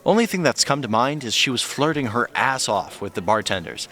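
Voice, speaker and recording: A man's voice: mid-range voice, expressive, a little fast, pushed and bright. A man playing a skeptical, candid friend of a detective, emphatic and sardonic, in a naturalistic audio drama. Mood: matter-of-fact.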